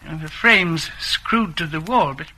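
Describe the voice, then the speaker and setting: An actor playing a genial, wistful old shopkeeper in a radio drama, rambling and hesitant. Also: male voice, fairly high pitch, a little slow, bright, forceful tone, full character voice, low-quality recording. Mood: wistful, chatty. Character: chatty, genial old widowed junk shop keeper.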